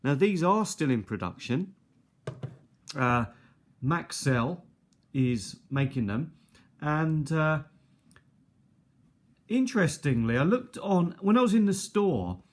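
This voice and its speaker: A man's voice: a little high, sing-song, slow pace, long pauses. A middle-aged man giving an unscripted, casual show-and-tell in a hesitant, rambling, conversational way. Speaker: video host. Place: indoors at a kitchen table. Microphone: handheld or nearby tablet's built-in mic.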